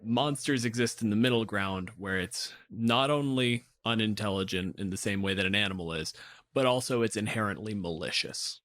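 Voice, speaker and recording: A man's voice: fairly low pitch, moderate pace, a bit bright. A man hosting a casual tabletop gaming discussion, explaining his opinions plainly. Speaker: podcast host.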